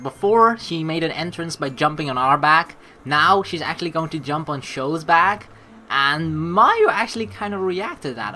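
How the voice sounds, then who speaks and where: A woman's voice: sits low, pushed and bright. A young woman doing playful, slangy let's play commentary and reading game narration aloud.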